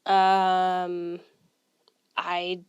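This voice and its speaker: A woman's voice: on the low side, monotone, very slow, darkish tone, clear, resonant tone, highs cut off. A young woman co-hosting a podcast, teasing her friend and explaining things matter-of-factly in casual chat. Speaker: podcast co-host.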